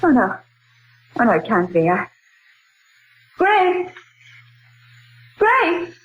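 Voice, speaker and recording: An actress playing a genteel, easily frightened woman in a theatrical, halting 1940s radio drama style. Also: high-pitched, huge pitch swings, husky, exaggerated voice. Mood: frightened, awed. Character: frightened, genteel woman recounting ghostly canyon ordeal. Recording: muffled, noisy 1940s radio recording.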